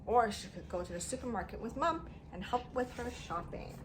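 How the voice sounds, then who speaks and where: A woman teacher reading a story aloud to young children in an expressive way, using simple phrasing and putting questions to them. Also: on the high side, brisk, background noise. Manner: expressive, emphatic delivery.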